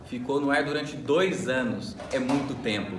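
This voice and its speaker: A man's voice: mid-range voice, normal speed. A man with a Brazilian Portuguese accent co-hosting a student radio program in a semi-scripted presenting style. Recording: roomy echo, faint background noise.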